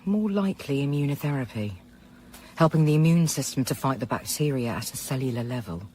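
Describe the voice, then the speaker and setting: An actress playing a measured, frank female scientist in a radio drama. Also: very deep voice, animated, mellow, slight background noise. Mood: measured, cautious.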